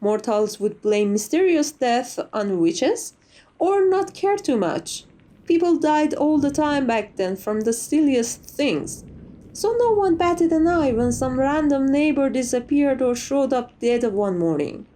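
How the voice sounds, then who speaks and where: Woman playing a mellow, theatrical, chatty lady vampire. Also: high voice, lively intonation, a little slow, pitched above the performer's own voice. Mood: matter-of-fact storytelling. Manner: playfully dramatic, hammy asides, chatty. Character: chatty, theatrical, vain but silly female vampire, over a century old. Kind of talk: ASMR roleplay, in-character interview monologue.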